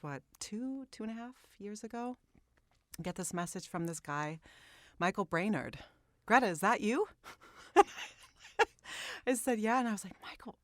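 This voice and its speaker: A middle-aged woman chatting casually as a guest, retelling things in a playful, animated manner. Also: medium pitch, dry recording. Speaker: actress, interview guest. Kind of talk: casual chat. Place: radio studio.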